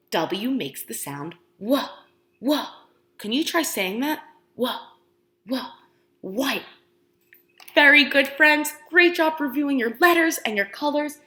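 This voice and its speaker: A woman preschool teacher reading aloud to young children, encouraging them with questions and pauses, using exaggerated, expressive emphasis. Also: high voice. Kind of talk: animated children's read-aloud and lesson. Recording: roomy indoor echo.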